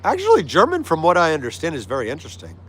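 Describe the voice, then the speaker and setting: A man's voice: a little high, huge pitch swings, rapid-fire, fairly bright tone. A man with an American accent hosting a livestream, chatting casually and agreeably with viewers in a friendly way. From United States. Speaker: livestream host. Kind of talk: casual chat with live viewers. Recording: clear background noise, vehicle-like ambience.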